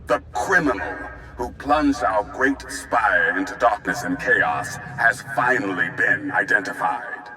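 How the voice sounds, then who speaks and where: Imperious emperor, forceful and echoing. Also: male voice, on the low side, animated, a little slow, faint music behind, exaggerated voice. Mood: grave, accusing. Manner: pompous, commanding, theatrical. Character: imperious tyrant emperor addressing his people. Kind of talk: formal public proclamation, video game acting. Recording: reverberant broadcast announcement, faint noise.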